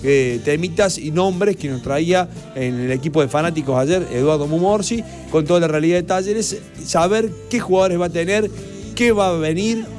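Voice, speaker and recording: A man reporting football news, then chatting as co-host, brisk and informative. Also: on the high side, a little mellow, faint music behind. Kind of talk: sports news report and studio chat.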